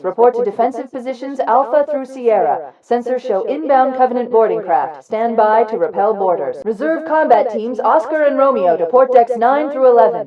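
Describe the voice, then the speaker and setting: A woman's voice: a little high, mellow. Muffled, distant female ship AI announcer. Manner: formal, clipped, commanding. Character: female ship's AI announcer, composed and authoritative. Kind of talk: military public-address announcement in a video game. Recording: dull, distant ship intercom sound.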